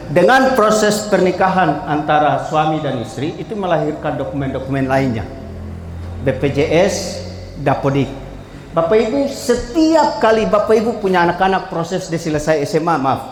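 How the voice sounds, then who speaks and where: Male official, pitching to a panel, forceful and direct, with faint room noise behind. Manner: emphatic, calls out to the audience, moves slide to slide. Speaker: civil registry official presenting to a jury. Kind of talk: formal presentation to a panel. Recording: echoey hall, faint ambience.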